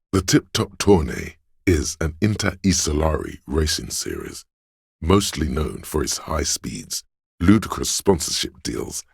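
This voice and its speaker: An extremely deep male voice plays a know-it-all encyclopedia living inside someone's head in a video game. It is heavily gravelled and very husky. The delivery is measured, learned and lecturing, like scripted narration. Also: no echo, studio quality, heavily acted.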